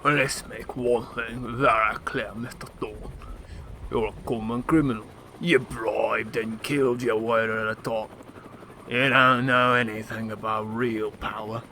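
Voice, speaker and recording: An actor playing a cocky, threatening gangland enforcer in a radio drama, with a taunting manner. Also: male voice, mid-range voice, fairly even intonation, measured pace, pushed and bright, slight background noise.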